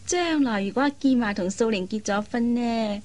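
A woman playing a kind, persuasive young elder sister in a Cantonese radio drama, coaxing and teasing in drawn-out phrases. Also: a little high, a little mellow, lightly acted. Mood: playful, fond.

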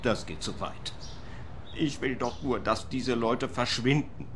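A man's voice: mid-range voice, unhurried, a little hiss or hum, lightly acted. A man playing a fussy, querulous, self-pitying landlord in a radio play. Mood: indignant. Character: fussy, aggrieved male landlord obsessed with order.

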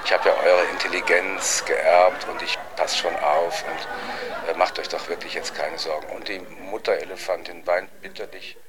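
A man telling a story informally in an unhurried, rambling way. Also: low-pitched, little pitch movement. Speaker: joke teller. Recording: very noisy location recording.

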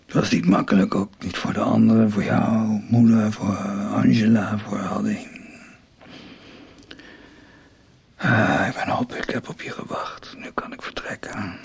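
Husky, whispering, dying fatherly writer. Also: fairly low pitch, moderate pace, hardly any pauses, exaggerated voice. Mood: weary. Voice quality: breathy, raspy whisper breaking into voice. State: exhausted, weakened, whispery. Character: dying, weary, tender older fatherly male writer. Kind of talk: radio soap acting.

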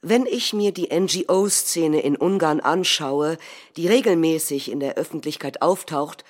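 Measured nationalist leader giving a speech. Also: female voice, deep voice. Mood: measured, resolute. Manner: measured, declarative, rhetorical. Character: calculating nationalist male head of government giving a programmatic speech. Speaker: stage actor. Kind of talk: theatrical reading of a political speech.

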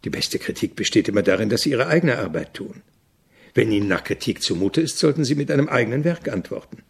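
An actor playing an opinionated, irritable avant-garde composer in a German radio drama, speaking in a didactic, deliberate way with a gravelly, husky voice. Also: male voice, medium pitch, animated. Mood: reflective, didactic.

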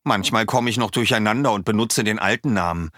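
A man voicing an earnest, anxious young villager who explains things eagerly, with a husky voice. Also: lowish voice, a little fast, fairly bright tone, no room sound, studio quality. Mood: explaining.

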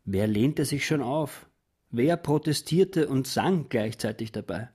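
Radio play: cowed, hesitant man of forty, rough husky tone, subdued and evasive. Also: medium pitch, animated, measured pace, mellow. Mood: wistful. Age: middle-aged. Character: cowed, hesitant son of a tyrant, around forty. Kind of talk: radio play monologue and dialogue.

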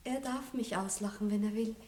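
A woman's voice: mid-range voice, moderate pace, darkish tone, close to an everyday voice. An actress playing a naive, proud young Viennese bride-to-be in a radio play, girlish and earnest. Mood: shy, smitten. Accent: Viennese Austrian. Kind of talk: 1960s radio play acting. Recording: old roomy radio studio recording.

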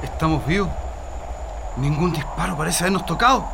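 An actor playing an anxious, bewildered prisoner in a radio drama. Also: male voice, on the high side, brisk, gravelly, background noise. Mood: frightened, urgent. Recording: music bed under the voice.

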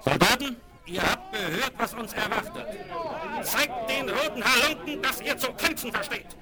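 A man's voice: extremely high pitch, normal speed. An actor playing a formal army major barking at his soldiers in a 1970s German western radio play. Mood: stern, commanding. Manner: formal military bark. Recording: noisy battle crowd, old vinyl transfer.

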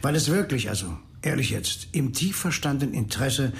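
A man acting in a radio crime drama as a complacent, chummy county politician, self-justifying, with a creaky voice. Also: medium pitch, big pitch swings, brisk.

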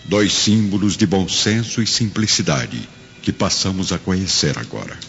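Deep-voiced male narrator over music. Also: sing-song, normal speed. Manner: solemn, literary. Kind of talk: scripted dramatic narration. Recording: dull audio.

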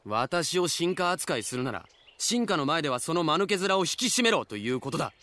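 A man's voice: fairly high pitch, sing-song, fairly quick, bright, forceful tone. A man acting in a Japanese audio drama as a haughty, prickly fallen nobleman, speaking in an imperious, archaic, scornful way. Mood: exasperated. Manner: imperious, sneering, archaic formal speech.

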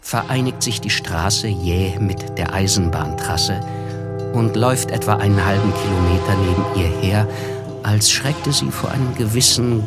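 An actor playing a reflective, wryly observant gentleman who narrates a literary radio play in a measured way. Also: fairly low pitch, close to an everyday voice. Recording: music under the voice. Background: music.

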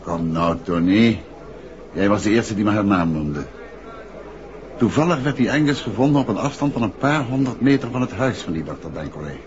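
An actor playing a composed, courteous but insistent detective in a 1960s Dutch radio drama. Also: male voice, lowish voice, expressive, normal speed, natural voice. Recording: muffled old radio recording, faint hiss.

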